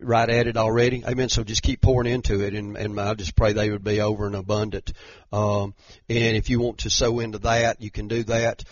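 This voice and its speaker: A man speaks as a pastor in a low, flat, unvaried voice. He hesitates often and fills gaps with "uh" and "um." The sound is dry and muffled. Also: a little fast, few pauses, no room sound. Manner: hesitant, filler-heavy. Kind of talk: church announcements.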